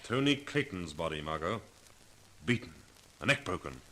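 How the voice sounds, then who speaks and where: A man's voice: deep voice, sing-song, normal speed, pushed and bright, exaggerated voice. An actor playing a bold, cool-headed male sleuth in a clipped, authoritative 1940s radio drama style. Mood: grim. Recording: vintage 1940s radio recording.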